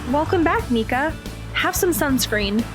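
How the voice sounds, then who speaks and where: A woman playing a hesitant, polite and agreeable high-school girl in an audio drama. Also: lively intonation, a little slow, music in the background. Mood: friendly.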